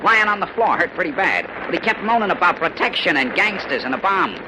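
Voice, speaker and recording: A man's voice: sits high, animated, brisk. An actor playing an eager, slangy newspaper reporter briefing his boss in a 1940s radio drama. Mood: eager, scheming. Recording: noisy old radio recording.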